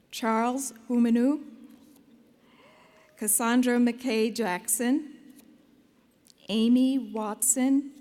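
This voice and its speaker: A woman presenting faculty at a graduation ceremony, reading names slowly and deliberately. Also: a little high, a little mellow. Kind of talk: ceremonial announcing. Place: large indoor arena.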